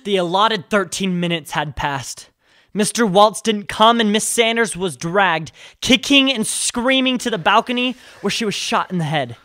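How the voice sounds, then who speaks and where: A man's voice: high-pitched, expressive, moderate pace, pushed and bright, no echo, studio quality. A man playing a shaken survivor, narrating an audio drama in the first person with sombre, weighty phrasing. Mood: grim. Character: shaken, grieving male survivor narrating a nightmare.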